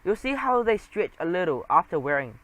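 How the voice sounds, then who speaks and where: A man's voice: sits high, big pitch swings, brisk, fairly bright tone, no room sound, low-quality recording. A man voicing a fawning, pushy shop clerk mouse in an audiobook, gushing and insistent. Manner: gushing and insistent sales patter. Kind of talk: audiobook character voice.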